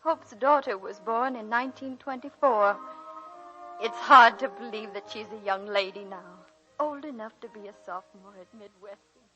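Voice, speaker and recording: An actress playing an elderly woman college teacher, a reflective and wry great-aunt, in gentle, theatrical 1940s radio drama style. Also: fairly high pitch, normal speed, muffled recording, over music, lightly acted. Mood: wistful narrating. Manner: reminiscing, gentle, theatrical delivery. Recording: hissy 1940s radio broadcast recording.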